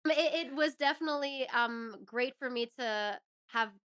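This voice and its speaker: Clear-voiced woman in casual conversation. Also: high-pitched, unhurried, fairly bright tone. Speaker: interview guest, actress and musician. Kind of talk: casual chat.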